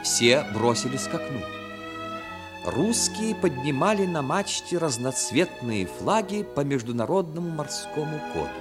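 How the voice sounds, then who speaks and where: A man narrating a 1960s radio play as a solemn, expressive storyteller. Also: on the high side, unhurried. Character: solemn storyteller of a seaside tale. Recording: 1960s radio recording with music. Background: music.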